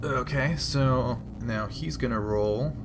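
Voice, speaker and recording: A man's voice: medium pitch, takes it slowly. A man acting as game master, explaining rules and rulings in a tabletop role-playing game. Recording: clear background noise.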